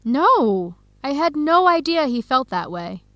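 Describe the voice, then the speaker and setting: An actress playing an earnest young researcher who confides carefully and clearly in an English-learning radio drama. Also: female voice, sits high, big pitch swings, unhurried, fairly bright tone, no room sound, heavily acted. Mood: troubled, confiding.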